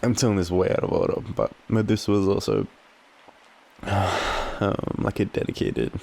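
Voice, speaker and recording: A young Australian man in a drowsy, halting, casual boyfriend roleplay, murmuring softly as he rambles through a story with slangy swearing. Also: fairly low pitch, big pitch swings, vocal fry. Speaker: audio roleplay performer playing a boyfriend. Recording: faint background ambient noise.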